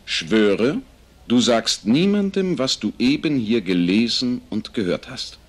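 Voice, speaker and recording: Husky, swooping puppet caliph. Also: male voice, medium pitch, big pitch swings, takes it slowly. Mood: commanding. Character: playful, commanding caliph in an oriental fairy tale. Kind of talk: theatrical German puppet-play acting.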